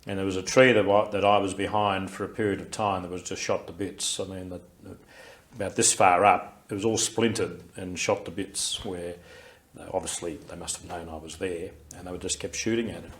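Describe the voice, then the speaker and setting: Deep-voiced older Australian man reminiscing. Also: level tone. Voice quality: loud, carrying voice. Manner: reflective, unhurried recollection with many fillers and restarts. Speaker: interviewee, war veteran. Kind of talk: recorded oral history interview.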